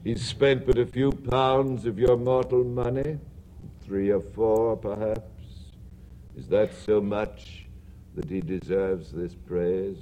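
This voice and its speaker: A man voicing a solemn, otherworldly male ghost spirit who speaks low, slow and hushed. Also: a little hiss or hum, rough recording.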